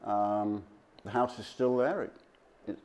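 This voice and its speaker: A man speaking as a local history presenter, giving an informal slide talk to an audience in a halting, conversational, off-the-cuff way. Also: lowish voice, steady tone, darkish tone.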